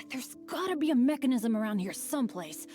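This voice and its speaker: A woman's voice: a little high, moderate pace, soft background music, lightly acted. A woman voicing an earnest, upbeat, good-natured teenage boy adventurer in an anime-style video game, with a boyish voice. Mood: pleading, excited. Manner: eager, good-natured, expressive.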